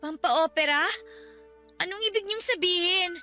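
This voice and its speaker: A woman's voice: very high-pitched, moderate pace, sharp tone, faint music behind. A woman playing a guilty, apologetic teenage girl in a Filipino radio drama, pleading and hesitant, with a Filipino accent. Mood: remorseful.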